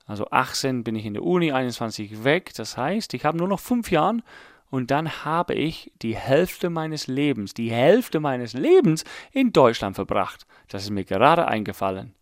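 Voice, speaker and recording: A man's voice: mid-range voice, sing-song, moderate pace, no room sound, studio quality. An Englishman in his late thirties, whose first language is English, hosting a casual solo podcast in German with an English accent, rambling and mocking himself as he thinks aloud. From England. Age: late 30s. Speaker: podcast host.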